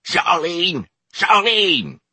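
A man voicing a bossy male bar owner who hollers in rough dialect. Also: fairly high pitch, big pitch swings, moderate pace, dry recording, pitched above the performer's own voice, heavily acted. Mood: hollering. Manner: shouting, rough dialect speech.

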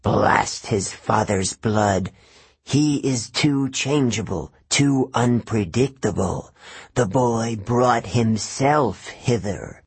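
A man voicing a menacing ancient male monster with a cold, slow, commanding manner in an audiobook. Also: medium pitch, slightly rough, no echo, full character voice. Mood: scornful. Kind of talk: audiobook character voice.

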